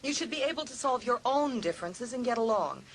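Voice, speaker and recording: An exasperated American mother in an audiobook drama. Her firm voice is fairly high and very expressive, over faint noise. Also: a little fast.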